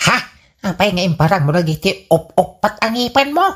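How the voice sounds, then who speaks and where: A man's voice: sits high, expressive, brisk, slight background noise. A man playing an amorous, easily flustered old father in an Ilocano comedy radio drama, with an Ilocano accent and a whining, exclaiming delivery. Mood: smitten, bewildered.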